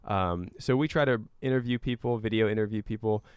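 A man speaking as an interview guest, giving earnest, measured, explanatory answers in a conversational way. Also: lowish voice, clean tone, dry recording. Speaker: interview guest, climate activist and scientist.

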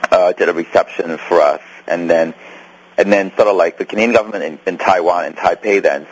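A man's voice: low voice, fairly quick, husky, low-quality recording. A man who grew up in Hong Kong, with a Hong Kong accent, answering interview questions about his film in a rambling way with many restarts. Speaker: filmmaker guest.

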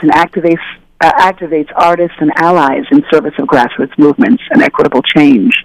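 A woman telling stories as an interview guest, animated and conversational, acting out the voices of people she quotes. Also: sits low, no echo, low-quality recording. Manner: animated, acts out dialogue in voices. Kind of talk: casual conversational storytelling.

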